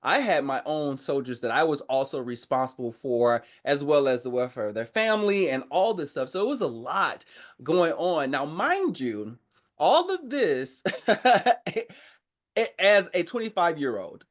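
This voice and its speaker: A man with a slightly high voice, talking as a guest on a podcast. He tells personal stories casually and with animation. His phrasing is informal, often breaking off and starting again. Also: very animated, measured pace. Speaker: podcast guest, army veteran. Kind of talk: casual conversational personal storytelling.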